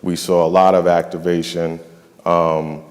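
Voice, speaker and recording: A man's voice: deep voice, level tone, a little slow, a little mellow, big-room sound. A young man from the Bahamas, a nonprofit finance and facilities staffer, speaking on a summit panel with many ums and restarts. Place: large event hall.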